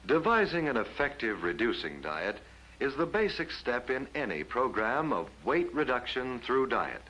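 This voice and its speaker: A man's voice: mid-range voice, sing-song, pushed and bright, slight background noise, low-quality recording. An American man narrating a 1950s instructional film from a script in a formal announcer manner, on an old film soundtrack. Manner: formal, measured announcer delivery.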